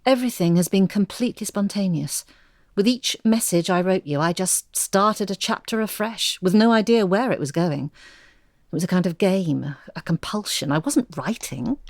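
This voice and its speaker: A woman's voice: mid-range voice, normal speed, a little mellow, no room sound, clean studio sound, natural voice. An actress playing a defensive, guilt-ridden middle-aged woman in an audio drama, speaking in an earnest, protesting way. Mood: confessional, defensive.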